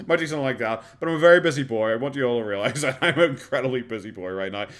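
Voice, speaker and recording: A man's voice: mid-range voice, rapid-fire, fairly bright tone. An Irish man streaming a casual reaction chat, sarcastic, swearing often and laughing a lot.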